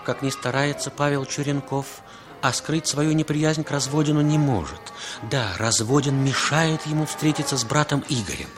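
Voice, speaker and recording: A man's voice: fairly high pitch, normal speed. A man giving dramatic scripted narration for a 1960s Soviet radio play. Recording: 1960s radio recording with loud music.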